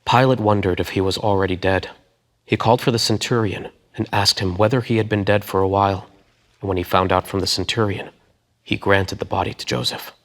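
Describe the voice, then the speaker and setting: A man's voice: lowish voice, steady tone, fairly quick, vocal fry, fairly bright tone. A man giving a dramatic narration of a scripture story.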